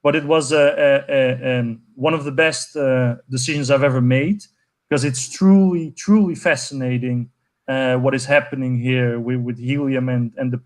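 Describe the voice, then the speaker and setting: A man's voice: medium pitch, fairly even intonation, moderate pace. Enthusiastic young man, many fillers. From Portugal. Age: 20s. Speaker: guest, network co-founder. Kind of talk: conversational interview answer.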